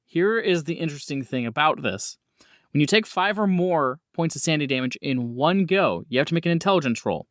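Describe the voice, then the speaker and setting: Animated high-pitched man running a game. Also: no room sound, clean studio sound. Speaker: game master. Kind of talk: running a horror tabletop roleplaying game.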